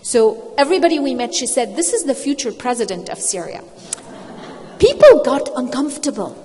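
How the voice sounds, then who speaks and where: A woman's voice: high voice, sing-song, moderate pace, mellow. A woman panelist telling a story with animation, her voice climbing when she quotes people. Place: event hall. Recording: reverberant hall.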